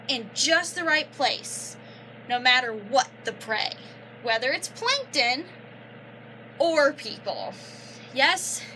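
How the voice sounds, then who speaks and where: A young woman reading a children's picture book aloud like a teacher, pausing to explain and prompt with questions. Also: on the high side, pushed and bright, a little hiss or hum, rough recording. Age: young adult. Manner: instructive, prompting listeners with questions and affirmations.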